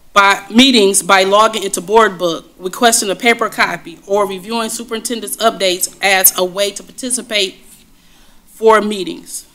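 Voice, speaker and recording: A woman's voice: medium pitch, fairly bright tone. A woman on a school board speaking firmly and insistently in a heated meeting, describing herself as having a strong voice.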